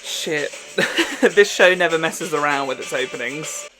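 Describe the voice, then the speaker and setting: A man's voice: fairly high pitch, measured pace, music in the background, rough recording. Male reaction host. Informal, talkative, sweary, everyday conversational delivery. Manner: chatty, informal, swears freely. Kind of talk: casual reaction vlog.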